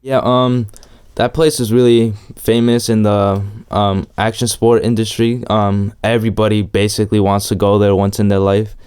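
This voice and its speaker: The laid-back young guy you would meet resting on his bike, answering questions in slang, his voice lowish and mellow. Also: flat delivery, normal speed, clean tone. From El Salvador, long resident in New York. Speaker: interviewee, amateur BMX rider. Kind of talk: casual chat.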